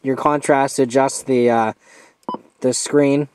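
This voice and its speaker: Male amateur reviewer, medium pitch, informal hands-on demo delivery, somewhat halting as he walks through features. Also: steady tone, darkish tone, dry recording, rough recording.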